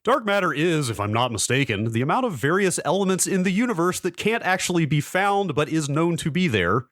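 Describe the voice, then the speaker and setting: A man in his forties chatting casually as a podcast co-host and reading old posts aloud in a wry, halting, self-deprecating way. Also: a little high, big pitch swings, a bit bright, no echo, clean studio sound. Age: 40s.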